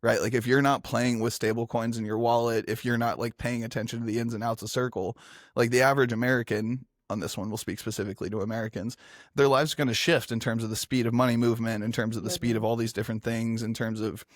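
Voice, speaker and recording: A man leads a casual panel chat, upbeat and quick to hype what's coming, leaning on filler words as he goes. His voice sits at an ordinary middle pitch. The sound is dry and close. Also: no room sound. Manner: energetic, filler-heavy, enthusiastic. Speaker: moderator of fintech panel.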